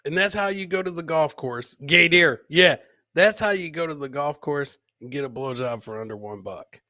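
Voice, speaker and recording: A man's voice: a little high, moderate pace, pushed and bright, no room sound. A man hosting a comedy podcast, chatting casually and bantering with viewers in informal, profane language. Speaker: podcast host. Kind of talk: casual comedic livestream chat.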